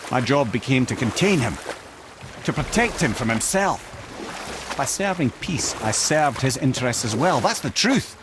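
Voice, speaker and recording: An actor playing a witty, worldly old counselor with a Scottish accent, telling tales in a wry, eloquent, theatrical manner for a video game. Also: male voice, mid-range voice, background noise, natural voice. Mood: rueful. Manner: wry, eloquent, theatrical storytelling with archaic turns. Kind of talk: video game character storytelling. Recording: clear boat and water ambience behind.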